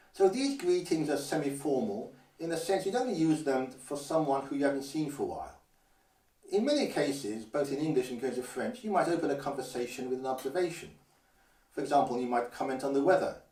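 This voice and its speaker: An older man from Guernsey teaches greetings patiently, pausing to say each phrase slowly and clearly. His voice is mid-pitched and sits back from the mic. A roomy echo surrounds him. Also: a little mellow, far from the mic. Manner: measured, careful, slows down to model phrases. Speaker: language teacher. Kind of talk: instructional explanation.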